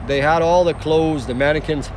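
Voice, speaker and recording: A man's voice: fairly high pitch, fairly even intonation, normal speed, a little hiss or hum, poor audio. Rambling man livestreaming from a car. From former Yugoslavia. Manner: rambling, repeats himself, talks back to chat. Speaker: rideshare driver livestreaming. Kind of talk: casual livestream chat with viewers. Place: inside a car on city streets.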